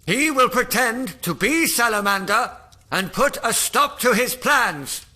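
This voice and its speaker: Man as earnest male rebel leader, high-pitched, slow delivery, declamatory, sci-fi audio drama. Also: expressive, sharp tone, exaggerated voice. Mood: rousing.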